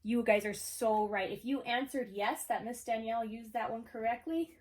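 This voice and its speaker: A woman's voice: a little high, normal speed. Woman teaching young kids in a video lesson. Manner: encouraging, talks to pupils as if they answer; casual, checks in often with okay. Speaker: third-grade teacher. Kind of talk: recorded video lesson for children.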